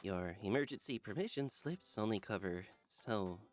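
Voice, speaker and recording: Theatrical, animated middle school teacher acted out, a man. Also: mid-range voice, normal speed, soft background music, lightly acted. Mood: announcing, coaxing. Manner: theatrical, addressing a class of children. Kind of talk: animated visual novel character acting.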